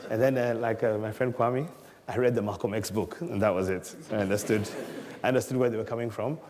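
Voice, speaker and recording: A middle-aged man from Ghana recounting his life in a relaxed storytelling way, chuckling often and mimicking people in his anecdotes. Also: on the low side, a little fast, big-room sound.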